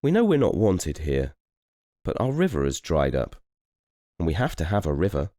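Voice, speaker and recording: A man's voice: low voice, huge pitch swings, normal speed, mellow, no room sound, clean studio sound. A man voicing an earnest, friendly hippo in a children's storybook. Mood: earnest, pleading.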